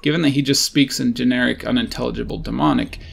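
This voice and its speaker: A man's voice: mid-range voice, fairly even intonation, quick pace, creaky, fairly bright tone, a little hiss or hum. A man reading scripted video commentary as a narrator. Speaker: narrator of a video about game unit quotes.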